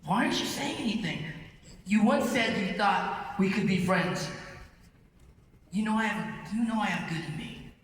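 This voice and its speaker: Earnest, frustrated teen ex-villain for animation; fumbling and halting, heard far off in a big hall. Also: male voice, sits high, expressive. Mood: pleading. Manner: halting, fumbling, self-correcting. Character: awkward, earnest, frustrated teenage boy, a reformed villain. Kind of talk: live table read of animated series. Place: large reverberant convention hall. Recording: loud noise, distant echoey hall.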